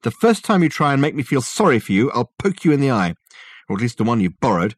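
A man voicing a brusque, excitable male detective in an audiobook, barking snappy, probing questions. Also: fairly high pitch, moderate pace, no room sound, studio quality, pitched above the performer's own voice. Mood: commanding, snappy.

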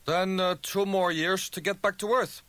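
Burly Danish crewman for an audiobook: Danish accent, blunt, offhand delivery, pitched well up, very dry sound. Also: fairly even intonation, moderate pace, fairly bright tone, no room sound. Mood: matter-of-fact. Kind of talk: audiobook character dialogue.

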